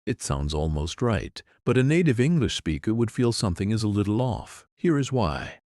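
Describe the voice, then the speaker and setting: A man with a very low voice teaches an English lesson. His pitch rises and falls freely as he explains each point patiently and clearly, and the sound is close and dry with no room echo. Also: sing-song, moderate pace, a little mellow. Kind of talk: scripted lesson to the camera. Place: in Europe.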